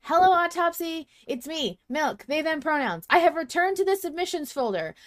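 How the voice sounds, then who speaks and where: Lady doing a super dramatic gushy fan reading out their letter, high voice, big emphasis. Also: lively intonation, moderate pace. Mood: excited. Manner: gushing, emphatic, theatrical.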